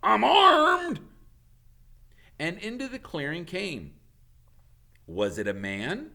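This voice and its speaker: A man's voice: a little high, wildly swooping pitch, slow pace, a bit bright. A man reading a storybook aloud as a teacher would, in dramatic narration.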